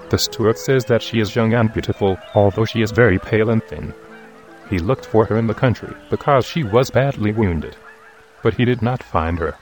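A man's voice: fairly low pitch, natural voice. Andrew, a man playing an earnest disciple. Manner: earnest, measured recounting. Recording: music score underneath.

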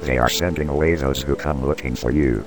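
A very deep, gravelly Judas , played by a man, with urgent, pleading speech. His voice sits at the bottom of the range. A clear music score plays under him. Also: fairly even intonation, a little fast. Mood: alarmed. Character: agitated impassioned male disciple Judas.

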